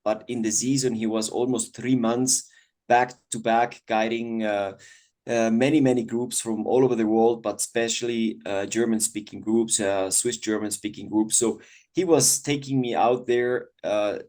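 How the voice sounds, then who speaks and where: A man from Ecuador, telling his story as an interview guest, grateful and warm, often pausing on "uh" and repeating words. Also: fairly low pitch, fairly even intonation.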